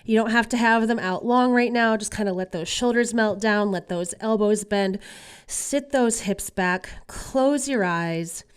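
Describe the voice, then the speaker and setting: A woman's voice: medium pitch, a little slow, clear, resonant tone. A woman yoga instructor getting over a cold, guiding a class in a casual, reassuring way. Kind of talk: guided exercise class instruction.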